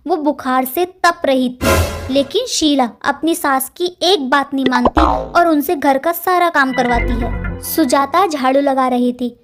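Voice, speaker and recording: A woman reading scripted narration for a family moral story. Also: high voice.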